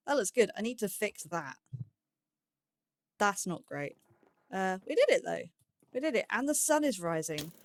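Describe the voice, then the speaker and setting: A woman giving casual let's play commentary, musing aloud and questioning herself as she goes. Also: mid-range voice. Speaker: host of a gaming let's play series.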